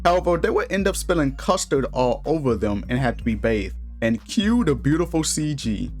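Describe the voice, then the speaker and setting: A man's voice: medium pitch, very animated, normal speed. A man narrating a casual scripted video review in an informal, slangy way with some crude humor. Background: background music.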